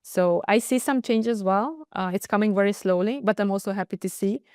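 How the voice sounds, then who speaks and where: A woman's voice: medium pitch, brisk, a little mellow, no echo, clean studio sound. A woman from Hungary, giving earnest, determined answers as an interview guest. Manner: earnest and determined, with some hesitations. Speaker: guest, internal audit director.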